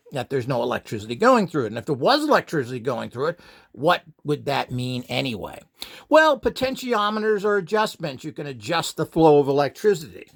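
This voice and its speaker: A man's voice: a little high, creaky. A man giving an informal, unscripted instructional talk, rambling as he explains and posing questions to himself.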